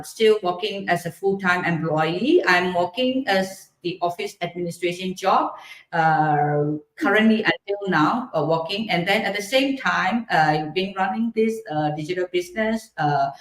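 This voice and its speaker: A middle-aged woman from Burma, a guest answering politely in halting sentences with many fillers. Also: lowish voice. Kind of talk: casual interview answers. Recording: echoey room.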